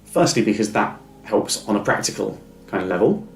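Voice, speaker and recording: A middle-aged business advisor who stammers, giving a measured, thoughtful interview answer with small blocks and repeats. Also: male voice, on the low side, very animated, creaky, echoey room, soft background music.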